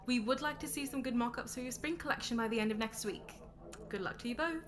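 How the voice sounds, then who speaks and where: A woman plays a challenging female board member in an audio drama. Her voice is high, formal and pointed, with clear crowd murmur behind her. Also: fast, close to an everyday voice.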